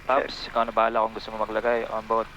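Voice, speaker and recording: A man's voice: fairly low pitch, monotone, rapid-fire, fairly bright tone, poor audio. A man with a Filipino accent answering cockpit checks casually as the second pilot in a small plane. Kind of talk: casual checklist responses mixing English and Tagalog. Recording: light engine noise in plane cockpit. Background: engine noise.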